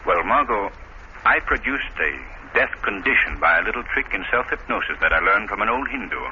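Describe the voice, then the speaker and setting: An actor playing an assured gentleman detective, calm and explanatory, in measured 1930s radio style. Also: fairly low pitch. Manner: measured, declamatory. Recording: muffled, noisy 1930s radio recording.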